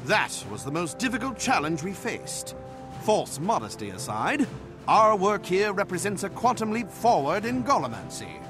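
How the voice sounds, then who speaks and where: An actor playing a proud, showy inventor of a small goblin-like race in a video game, boastful and articulate. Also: male voice, high voice, wildly swooping pitch, moderate pace. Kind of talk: video game cutscene acting. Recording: music behind the voice.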